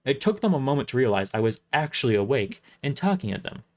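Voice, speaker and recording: Male livestream storyteller, mid-pitched, highly animated read of scripted narration. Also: quick pace.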